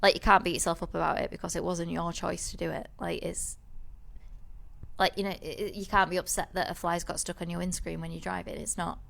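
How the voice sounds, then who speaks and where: A woman's voice: on the low side, steady tone, brisk, gravelly, fairly bright tone. A woman co-hosting a podcast, telling a rambling story in casual chat, with many restarts. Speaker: podcast co-host.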